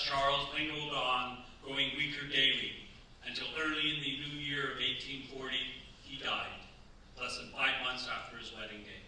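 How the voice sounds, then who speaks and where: A man playing the grave narrator of a staged radio crime drama, reading in a formal, measured, dramatic way. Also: mid-range voice, bright, forceful tone. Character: grave storyteller of a true crime tragedy. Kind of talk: scripted radio play narration. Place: cultural centre stage, live performance. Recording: echoey hall, distant mic, faint noise.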